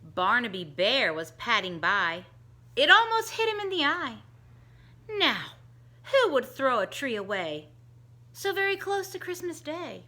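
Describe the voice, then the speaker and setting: A middle-aged woman reads a rhyming children's book aloud at an unhurried pace. Her voice is bright and a little high, and it swoops up and down in a sing-song way as she changes voices for the characters. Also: big pitch swings. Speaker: teacher reading a picture book aloud. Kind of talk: storybook read-aloud for children.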